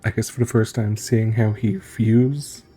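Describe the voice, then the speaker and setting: A man with a mellow, mid-pitched voice comments casually as a game streamer. He talks slowly and haltingly, musing aloud, with faint music behind him. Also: steady tone. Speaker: streamer reacting to a game story.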